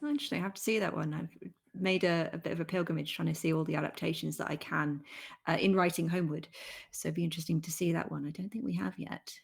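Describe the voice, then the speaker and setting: A woman's voice: low voice, steady tone, quick pace, vocal fry, husky. A woman interviewer chatting casually with her guest about creative work.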